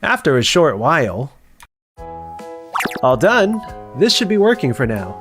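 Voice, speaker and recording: A man playing a calm, capable young factory worker in a scripted manga dub, composed and matter-of-fact. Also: on the high side, measured pace, over music.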